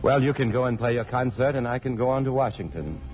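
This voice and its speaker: An actor playing a troubled, tender middle-aged father in a 1950s radio drama, with a halting, earnest delivery. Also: mid-range voice, animated, lightly acted. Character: troubled, tender married father torn between two loves. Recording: dull vintage radio broadcast, faint music behind.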